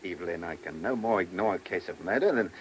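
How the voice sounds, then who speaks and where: A man playing a dogged, urbane police chief inspector in a radio play, measured and probing, with a wry touch. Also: low voice, lively intonation. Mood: affectionate, firm. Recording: noisy old radio recording.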